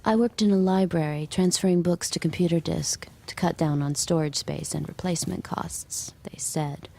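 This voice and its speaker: An actress playing a young mother, narrating in a quiet, intimate, reflective way. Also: on the low side, steady tone, moderate pace, vocal fry. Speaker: narrator and lead character. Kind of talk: audio drama acting.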